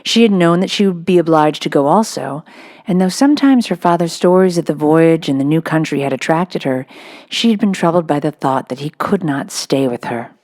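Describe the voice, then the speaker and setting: A woman's voice: deep voice, animated, normal speed, a little mellow. A woman reading a children's novel aloud as narrator, in a scripted storybook style.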